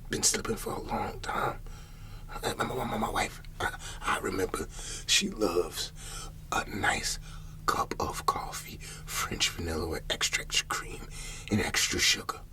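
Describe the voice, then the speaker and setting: A man playing a paranoid, troubled older Southern farmer in an ASMR roleplay, whispering haltingly and repeating his words as he rambles. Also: low-pitched, pushed and bright, full character voice. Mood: wistful, confiding. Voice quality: breathy whisper.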